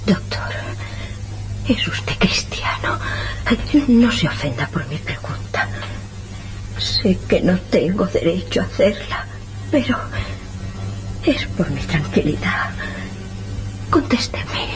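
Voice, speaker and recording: Anxious woman, slow and imploring. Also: lowish voice, very animated, faint music behind. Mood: weak, troubled pleading. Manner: halting, imploring, solemn. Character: anxious, pleading woman in a hospital melodrama. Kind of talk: old-fashioned Spanish radio serial acting.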